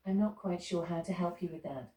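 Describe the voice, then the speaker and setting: A woman's voice: on the low side, fairly quick. A synthetic female voice speaking as a smart speaker assistant, heard from a device in the room. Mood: flat. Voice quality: even synthetic assistant delivery. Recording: roomy speaker sound, faint hiss.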